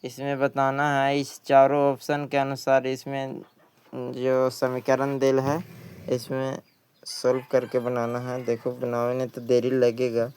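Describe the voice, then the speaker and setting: A man's voice: mid-range voice, completely flat delivery, a little slow, darkish tone, smooth. A man teaching by reading exam questions and explaining the answers, often repeating himself. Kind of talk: exam question walkthrough lesson.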